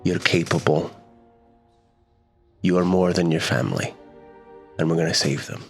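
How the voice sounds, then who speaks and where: A man's voice: deep voice, normal speed, creaky, faint music behind. A man playing a tabletop roleplaying game, moving casually between in-character talk and narration.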